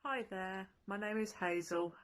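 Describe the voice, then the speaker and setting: A woman's voice: mid-range voice, measured pace. A woman from Birmingham, a parish counsellor, introducing herself plainly. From Birmingham, United Kingdom.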